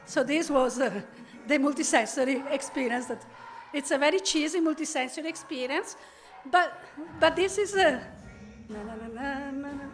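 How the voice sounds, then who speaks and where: A middle-aged Italian woman with a high voice speaks English with an Italian accent. She gives a conference keynote in a friendly, chatty way and often pauses to gather her words. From Italy. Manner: friendly, conversational, frequent pauses and fillers.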